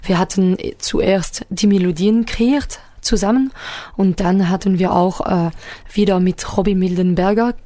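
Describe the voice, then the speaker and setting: A woman whose first language is French, a singer-songwriter answering interview questions about her music in careful phrasing with many pauses and fillers. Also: medium pitch, steady tone, mellow, no room sound. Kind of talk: radio interview.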